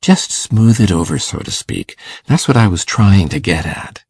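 A man acts out a shy, kindly older farmer for a storybook. His voice is very husky and creaky, moving up and down as he coaxes in a hesitant, folksy way, often repeating himself. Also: low-pitched, sing-song, a little slow, darkish tone, no echo, clean studio sound, full character voice. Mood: earnest, coaxing. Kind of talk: audiobook character acting.

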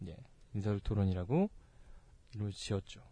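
A man's voice: fairly low pitch, animated, brisk, dark tone, slightly rough. A young man hosting a casual comedy talk show, teasing his guests in a playful way. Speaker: host.

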